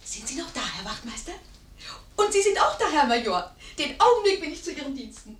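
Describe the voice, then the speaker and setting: Cheeky young maid, high pitched, bouncy melody, teasing, old radio play. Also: female voice, sing-song, slight background noise. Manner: saucy, quick-witted banter. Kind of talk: 1950s German radio play acting.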